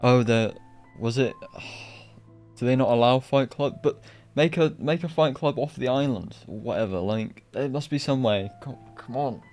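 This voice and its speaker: A man's voice: medium pitch, a little slow, darkish tone. A man giving casual livestream game commentary, blunt and exasperated, with a sweary, ranting manner. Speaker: game streamer. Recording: game music behind voice.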